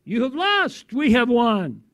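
A man's voice: very high voice, big pitch swings, slow pace, a bit bright, dry recording, studio quality, pitched above the performer's own voice, heavily acted. A man voicing a triumphant divine lion in a sermon, proclaiming victory in a chant.

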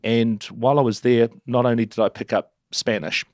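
A middle-aged man with a New Zealand accent, a guest telling stories in a relaxed, self-deprecating way with many restarts. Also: medium pitch, normal speed, dry recording, clean studio sound. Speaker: interview guest. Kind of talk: relaxed storytelling.

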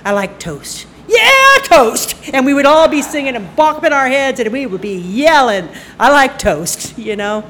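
A woman in her sixties telling a personal story on stage into a microphone, conversational and halting, with fillers and bursts of laughter. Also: sits high, big pitch swings, a bit bright, a little hiss or hum. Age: 60s. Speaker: storyteller at a live storytelling night. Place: stage at a live event.

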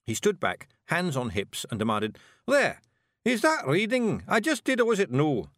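A man's voice: sits high, huge pitch swings, fairly bright tone, no room sound, studio quality, voice pushed up, exaggerated voice. Rob Anybody, a man voicing a blustering Scottish fairy chief. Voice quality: broad, blustering, quick to snap. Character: boastful little male fairy clan leader.

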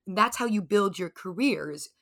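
A woman's voice: mid-range voice, expressive, normal speed, a bit bright. A woman interviewing a guest, asking questions in a thoughtful, conversational way with frequent ums and you-knows. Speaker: interviewer, host.

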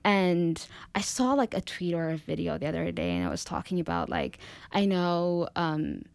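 Woman from Ethiopia, low and gravelly, thoughtful podcast guest. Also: very animated, moderate pace, darkish tone, no echo. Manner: thoughtful, hedging with "I feel like". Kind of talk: casual panel discussion.

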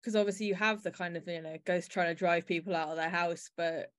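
A woman's voice: fairly low pitch, steady tone, a little fast. A woman hosting a podcast, chatting casually and reflectively about an audio play. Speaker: podcast host.

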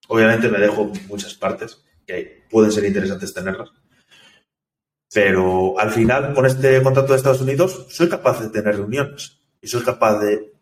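A low-pitched man talks through his experience in a relaxed, halting way, often restarting his sentences. He sounds some distance from the mic, in an echoey room. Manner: thoughtful, hesitant, many restarts and fillers. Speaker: software developer guest. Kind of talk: casual interview conversation.

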